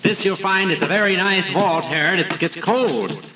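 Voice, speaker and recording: A man's voice: sits high, expressive, normal speed, far from the mic, very noisy. A man playing a chipper, folksy Swedish immigrant businessman with a Swedish accent in a 1950s radio comedy sketch. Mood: chipper, chatty. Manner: folksy, eager, talkative. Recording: vintage 1950s radio tape.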